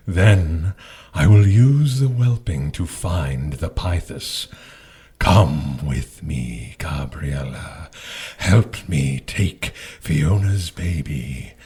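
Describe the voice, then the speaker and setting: A slow, raspy demon lord tempts in a bottomless deep voice. He is mocking and power-hungry, coaxing theatrically in audio drama fashion. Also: warm tone, heavily acted. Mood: grandiose, tempting. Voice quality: husky, raspy.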